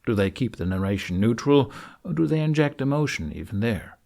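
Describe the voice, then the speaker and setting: A man's voice: mid-range voice, lively intonation, a little fast, gravelly, a little mellow. A man narrating a scripted tutorial in an informal, reassuring way with wry asides, as host. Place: carpeted living room with curtains.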